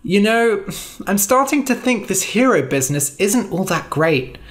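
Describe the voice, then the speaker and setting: An earnest young would-be hero speaks in a lifted, lively voice. He gets indignant quickly, played by a storyteller. This is storybook narration. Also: medium pitch, voice pushed up, natural voice. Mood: weary. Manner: eager and indignant.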